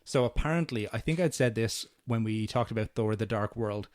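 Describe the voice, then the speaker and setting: A man co-hosting a podcast, chatting casually through a movie recap and sometimes quoting lines in animated voices. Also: fairly low pitch, brisk, no room sound. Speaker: podcast co-host.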